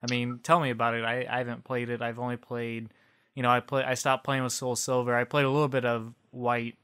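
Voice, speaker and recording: A man's voice: mid-range voice, flat delivery, brisk, fairly bright tone, smooth. A man co-hosting a podcast in casual, conversational chat. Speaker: podcast co-host.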